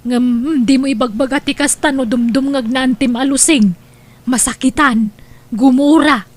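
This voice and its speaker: Woman acting a very high-voiced elderly mother. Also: normal speed. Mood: indignant. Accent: Ilocano. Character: elderly mother, proud and stubborn about suitors. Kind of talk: comedy drama acting.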